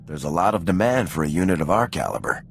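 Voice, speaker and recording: A man playing a composed male squad commander with a calm, dry manner in a video game. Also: low-pitched, quick pace, vocal fry, soft background music. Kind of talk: video game cutscene acting.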